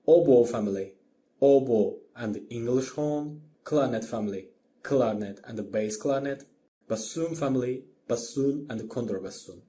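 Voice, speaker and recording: Mellow Azerbaijani-accented man lecturing. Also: medium pitch, slight background noise. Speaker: orchestration teacher. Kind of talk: scripted lecture narration.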